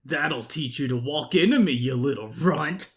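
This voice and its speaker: A man voicing a loud, sneering young school bully in a comic dub, taunting and aggressive. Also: medium pitch, animated, moderate pace, a little hiss or hum, close to an everyday voice.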